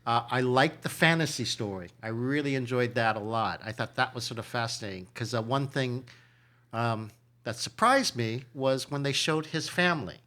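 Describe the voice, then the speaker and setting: A man co-hosting a movie podcast speaks in an ordinary middle-pitched voice. He gives his opinion in an easygoing, measured manner, with the odd filler. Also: lively intonation, bright, forceful tone. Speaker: podcast co-host. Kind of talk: casual movie review chat.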